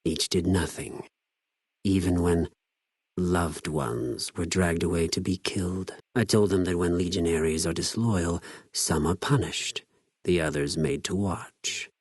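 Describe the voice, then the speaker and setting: Deep-voiced, coldly menacing legion spy. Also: male voice, a little mellow, husky, no echo, studio quality, heavily acted. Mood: detached cruelty. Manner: formal, measured, coolly condescending. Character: cold menacing male legion spy, zealous and contemptuous. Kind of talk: scripted video game character dialogue.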